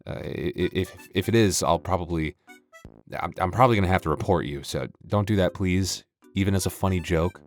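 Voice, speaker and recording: Man, deep voice, casual creator chat, rambling, self-deprecating, dry humor, faint music. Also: a little fast. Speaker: audio roleplay creator. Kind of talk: casual, joking outro chat.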